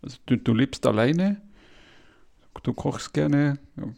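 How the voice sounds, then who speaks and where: A man's voice: mid-range voice, animated, slow pace, gravelly, darkish tone. A man hosting a podcast interview, asking questions hesitantly with many fillers. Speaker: podcast host.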